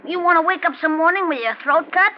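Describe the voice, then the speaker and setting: High-voiced bossy little man. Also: fast talker. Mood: nagging, fond. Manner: brusque, bossy, teasing. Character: bossy, protective little man with dwarfism, gruff and fond. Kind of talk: 1940s radio acting. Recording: dull, noisy 1940s radio recording.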